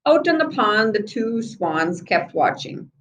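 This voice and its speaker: A woman reads a children's story aloud at a slow, unhurried pace. Her pitch sits in the middle of the female range. She narrates expressively, like a storyteller addressing young listeners. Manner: expressive, acts out the children's dialogue. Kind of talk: children's book read-aloud with asides.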